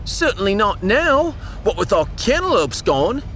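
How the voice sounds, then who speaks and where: A man's voice: sits high, very animated, measured pace, pushed and bright, a little hiss or hum, pitched above the performer's own voice, full character voice. A man voicing a whiny, indignant refugee in a sci-fi audio drama, with colloquial grumbling.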